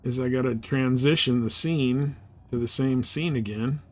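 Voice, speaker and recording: A man's voice: medium pitch, moderate pace. Male, mid-range voice, livestream host, casual off-the-cuff gaming commentary.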